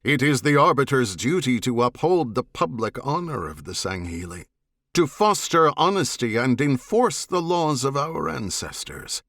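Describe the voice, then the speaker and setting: A man voicing a gaunt, condescending alien warden who speaks formally and with measured, needling haughtiness in an audiobook. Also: mid-range voice, big pitch swings, fairly bright tone, no echo, studio quality. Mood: solemn, lecturing. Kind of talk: audiobook character dialogue.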